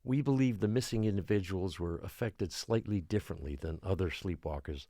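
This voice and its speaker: Small-town mayor, male, low register, dry recording. Formal, measured, placating public speaker under pressure. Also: warm tone, dry recording. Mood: placating, strained. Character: beleaguered small-island mayor trying to calm frightened residents. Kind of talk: audio drama acting, public address.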